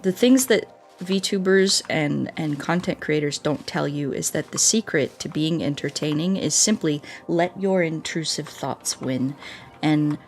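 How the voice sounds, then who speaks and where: Woman game streamer, kinda low voice, goofy n scatterbrained chatting to chat, bit of music in the back. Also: measured pace. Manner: playful, scatterbrained, repeats words and sing-songs nonsense. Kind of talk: casual live game commentary, chatting with viewers.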